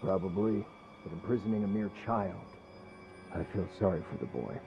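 A man's voice: deep voice, expressive, moderate pace, music in the background. A man playing a sympathetic castle guard in a video game, in slightly muffled audio. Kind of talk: video game dialogue acting.

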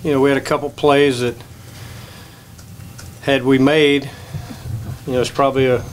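Older guy coaching baseball, flat steady voice, postgame presser at a table mic, faint hum behind. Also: medium pitch. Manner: measured, plain-spoken, many restarts. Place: press room.

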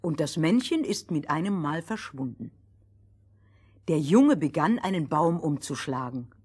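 A woman narrating a scripted fairy tale for children in a deliberate, schoolmistressly manner. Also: deep voice, sing-song, a little mellow, breathy edge, full character voice. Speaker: first storyteller.

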